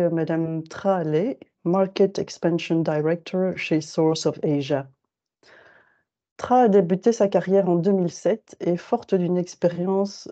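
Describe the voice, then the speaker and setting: A woman's voice: lowish voice, mellow. A woman moderating a webinar, thanking speakers and giving polished introductions. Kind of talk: formal moderation. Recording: muffled lo-fi video call audio.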